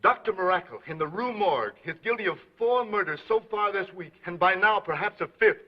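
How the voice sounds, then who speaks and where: An earnest young man pleading urgently with the authorities. His voice is high and husky, and it rises and falls a lot. The audio is muffled like an early talkie. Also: expressive, a little fast. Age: young adult. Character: earnest young man accusing a mad doctor. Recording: muffled 1930s film soundtrack.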